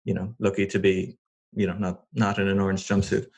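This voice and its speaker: Man, podcast host, low voice, flat steady delivery, agreeable, measured. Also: vocal fry. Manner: agreeable, measured, brief affirmations. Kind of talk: interview conversation.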